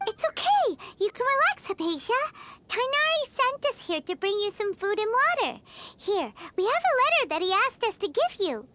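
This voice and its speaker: A woman voicing a small, childlike fairy companion in a video game, squeaky, excitable and chatty. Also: moderate pace, slight background noise, exaggerated voice. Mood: reassuring. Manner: refers to herself by name, exclamatory. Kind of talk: video game character acting.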